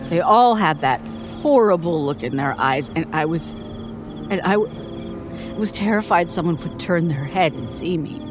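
A woman's voice: on the low side, lively intonation, music in the background. Haunted woman recounting childhood trauma. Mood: haunted, tense. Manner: halting, haunted storytelling. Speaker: interviewee. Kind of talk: dramatic audio drama monologue.

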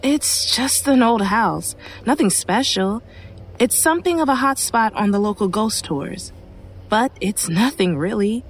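A woman's voice: mid-range voice, expressive, measured pace, husky. Guarded female shopkeeper. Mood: dismissive, guarded. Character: guarded local shopkeeper woman in a ghost story. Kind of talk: horror audio drama acting.

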